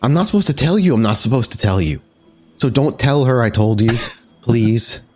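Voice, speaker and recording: A man voicing a worried, loyal young woman in a tabletop roleplay, halting and blunt, exasperated but affectionate. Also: medium pitch, lively intonation, faint music behind, lightly acted. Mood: nervous, confiding.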